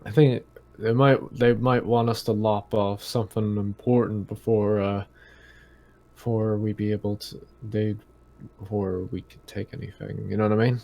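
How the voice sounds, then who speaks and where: A man's voice: lowish voice, little pitch movement, normal speed, creaky, dark tone. A man speaking hesitantly and without much emphasis as a player in a tabletop roleplaying game. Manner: hesitant, understated. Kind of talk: casual tabletop roleplay chat.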